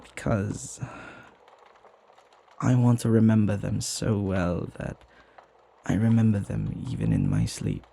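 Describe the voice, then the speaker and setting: Casting: devoted flirty butler male actor, romantic ASMR roleplay. Soft, teasing, gravelly low end, highly varied intonation. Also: animated, full character voice. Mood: tender, flirtatious. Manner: soft, teasing, tender, hesitant pauses.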